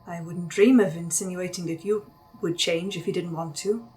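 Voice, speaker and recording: A woman playing a cunning cursed woman who takes raven form, wry and measured as she bargains. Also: on the low side, fairly even intonation, warm tone, faint music behind, lightly acted.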